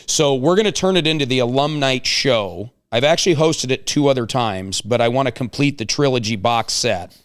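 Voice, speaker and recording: A man's voice: medium pitch, animated, normal speed, few pauses, smooth. A man hosting a casual talk show, chatting with guests in a playful, joking way. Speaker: show host.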